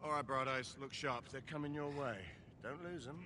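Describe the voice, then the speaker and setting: An actor playing a tough, cheeky middle-aged British crook, sardonic and blokey, in a video game cutscene. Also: male voice, medium pitch, steady tone, normal speed, background noise, close to an everyday voice. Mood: cheeky, animated.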